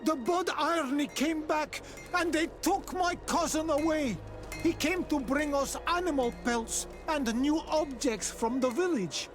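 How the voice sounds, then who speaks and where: A man's voice: very high voice, music in the background. A man playing a troubled native islander merchant in a video game, speaking in an earnest, pleading and formal way. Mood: distressed, pleading. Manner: earnest, halting, foreigner unfamiliar with local ways. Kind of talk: video game dialogue acting.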